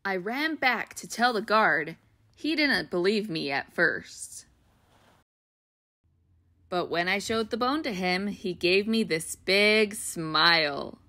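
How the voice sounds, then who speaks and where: Female storyteller, bright and clear, warm read-aloud manner for kids, dry close mic. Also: medium pitch, slow, dry recording. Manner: gentle, expressive, child-friendly reading. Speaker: children's book read-aloud host.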